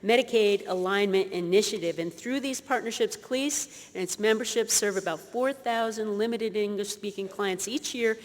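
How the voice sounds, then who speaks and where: A woman presenting an award and reading the citation in a formal, deliberate way at a conference. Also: medium pitch. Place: large conference hall. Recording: hall reverb with crowd.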